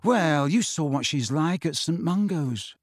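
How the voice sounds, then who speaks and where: Man doing a shy, quiet, miserable teen boy. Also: on the high side, expressive, a little slow, breathy edge, no room sound, clean studio sound. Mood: subdued. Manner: quiet and subdued. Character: shy timid teenage schoolboy.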